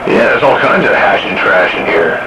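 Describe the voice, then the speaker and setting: A man's voice: fairly low pitch, expressive, moderate pace. A man hosting a casual chat show over CB radio. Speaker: amateur radio show host. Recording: very noisy CB radio transmission.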